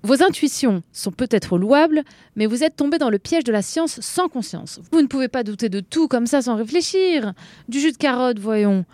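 An actress playing a sarcastic, idealistic young anticapitalist country doctor who lectures in a sardonic way. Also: female voice, fairly high pitch, expressive, moderate pace, darkish tone, dry recording, natural voice. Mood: preachy. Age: young adult. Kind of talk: audio fiction acting.

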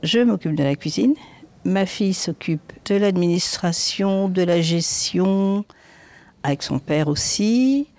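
A woman's voice: on the low side, animated, slow, darkish tone. A woman in her sixties from southern France, casually recalling her life in plain, colloquial words as an interviewee. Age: 60s. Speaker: restaurant chef interviewed. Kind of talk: casual spoken recollection.

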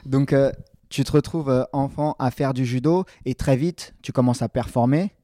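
Male interviewer, mid pitch, warm-toned and relaxed, chatty rambling questions, dry close recording. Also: moderate pace, no room sound. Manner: friendly, rambling questions with restarts. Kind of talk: casual sports interview.

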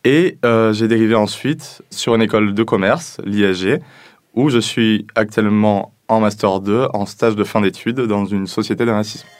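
A man's voice: on the low side, normal speed. A man, talking informally about himself in an interview. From southern France. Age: 20s. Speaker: business school student interviewee.

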